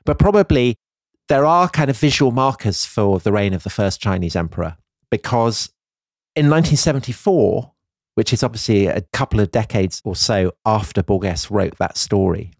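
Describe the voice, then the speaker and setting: Low-voiced man explaining history thoughtfully. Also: lively intonation, moderate pace, a little mellow, no echo, studio quality. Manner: thoughtful, explanatory, hedging with "I think". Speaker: podcast co-host. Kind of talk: conversational history podcast.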